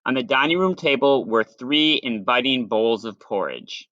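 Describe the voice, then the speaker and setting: A man reads a children's picture book aloud in a playful, expressive way. His pitch moves a great deal and his tone is bright. He drops in dry asides, and the recording has little room sound. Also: normal speed, no echo, muffled recording. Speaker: storyteller.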